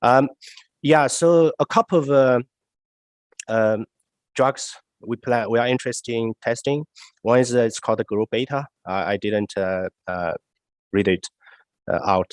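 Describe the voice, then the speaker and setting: A man researcher answering questions after his talk, his voice nasal from a cold, halting with many fillers. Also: mid-range voice, clear, resonant tone, no echo. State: congested, nasal voice. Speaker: research scientist presenting.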